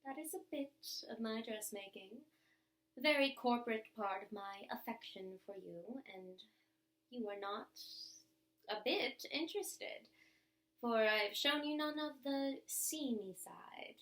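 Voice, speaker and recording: A woman giving an expressive, theatrical reading of old love letters, with deliberate pauses. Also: fairly high pitch, reverberant. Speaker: reader of bedtime stories.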